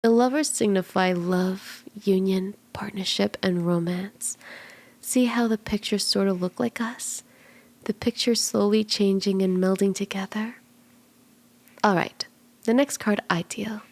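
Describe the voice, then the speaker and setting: Kitsune tarot reader acted by a woman: flirty, playful, soft and intimate ASMR delivery with noticeable vocal fry and some whispering. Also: medium pitch, fairly even intonation, a little slow. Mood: teasing, intimate. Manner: teasing, reassuring, conversational. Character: flirty, playful female kitsune fortune teller.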